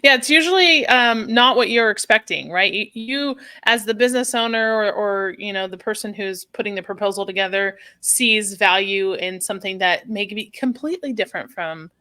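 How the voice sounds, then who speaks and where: A woman's voice: mid-range voice, normal speed, few pauses, sharp tone, dry recording. A woman hosting a podcast, talking in a chatty, rambling way with many fillers. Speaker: podcast host. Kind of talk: podcast interview conversation.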